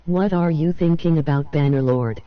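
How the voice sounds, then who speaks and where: A man reading viewers' chat messages aloud in a higher, put-on voice. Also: moderate pace, dry recording, highs cut off, lightly acted.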